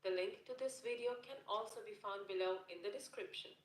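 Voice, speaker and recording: A woman teaching German phrases in a scripted lesson narration, repeating each phrase slowly and carefully. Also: mid-range voice, slight background noise. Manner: slow, careful repetition of phrases. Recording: roomy echo.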